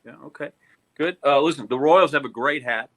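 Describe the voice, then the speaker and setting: A man's voice: mid-range voice, a little fast, a bit bright. An American man hosting a casual sports podcast in a joking, teasing manner. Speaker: podcast host.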